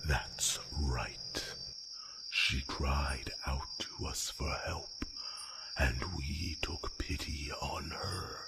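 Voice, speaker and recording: A man playing a grave, slightly grumpy old dragon in a fantasy roleplay audio drama, speaking slowly and solemnly in a breathy rasp. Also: rumbling low, heavily acted. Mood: reflective storytelling. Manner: slow, halting, solemn delivery. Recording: loud noise bed of night crickets.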